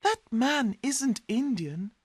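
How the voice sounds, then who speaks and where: A man's voice: very high voice, lively intonation, slow, husky, no echo, voice pushed up, exaggerated voice. A man voicing a suspicious, bossy fairy-tale woman with an urgent, insistent manner.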